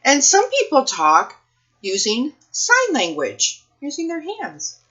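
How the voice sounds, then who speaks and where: A woman reading a picture book aloud for children's storytime, phrasing things deliberately and putting questions to her young listeners. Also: sits high, wildly swooping pitch, pushed and bright, muffled recording.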